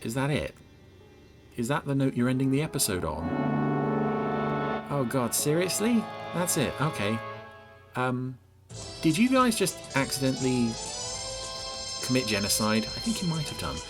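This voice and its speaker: A man reviews a TV episode scene by scene in a sardonic, exasperated manner. His pitch sits in the middle, and music plays clearly behind him. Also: big pitch swings, normal speed, long pauses. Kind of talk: mocking scene-by-scene television commentary. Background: TV episode soundtrack with music.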